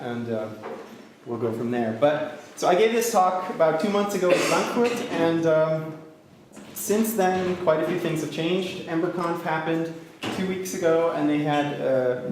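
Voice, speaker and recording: A man gives a meetup tech talk in English, his pitch a bit higher than most men's. He speaks haltingly, with many fillers and restarts, and slips in self-deprecating jokes. The sound is very echoey with a faint hiss of noise. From Austria. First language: German. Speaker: conference speaker. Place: large echoey hall with a crowd.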